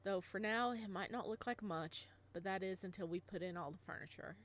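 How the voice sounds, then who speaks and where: Lady doing a gentle dad in a story game, soft n tender narration. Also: medium pitch, brisk, natural voice. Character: gentle doting father, a modest physics teacher. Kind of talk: soft visual novel narration in character.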